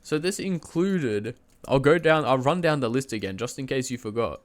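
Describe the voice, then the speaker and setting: A man's voice: mid-range voice, lively intonation, moderate pace. Congested young man telling a rambling story. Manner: loose and chatty, lots of fillers and restarts, laughs mid-sentence; standing and moving around while talking. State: sick with a cold, congested and nasal. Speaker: streamer. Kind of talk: casual rambling storytelling to a live chat. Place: home streaming setup.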